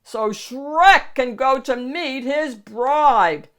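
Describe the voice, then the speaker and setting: Woman acting a loud, bellowing storybook ogre. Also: sits high, very slow, voice pushed up, heavily acted. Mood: commanding. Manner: bellowing, declamatory. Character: loud, bold, boastful male ogre, gleefully repulsive. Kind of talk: storybook character voice.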